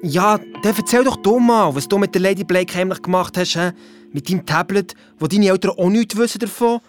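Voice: medium pitch, fairly quick, soft background music, natural voice. An actor playing Luiz's part as a young schoolchild detective, with a Swiss German accent, retelling adventures colloquially and acting out what others said. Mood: challenging. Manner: colloquial, acts out quoted speech. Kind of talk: children's radio play, colloquial first-person retelling.

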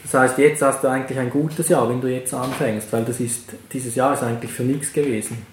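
A man with a medium-pitched voice chats in an echoey room with faint noise. He sounds assured and explanatory, like an adviser. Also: fairly even intonation, a little fast. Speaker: investment adviser. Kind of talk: casual chat.

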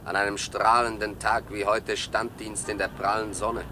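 Low-voiced grumbling man on duty. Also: level tone, normal speed, pushed and bright. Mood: disgruntled. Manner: sarcastic, grumbling. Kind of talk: 1960s German radio play acting. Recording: noisy outdoor street sound effects.

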